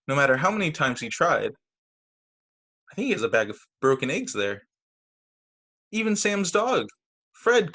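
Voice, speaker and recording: A man reading picture books aloud to children as a teacher, expressively, with casual asides about the pictures. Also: on the high side. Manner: expressive, acts out quoted lines, comments on the pictures. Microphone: video call.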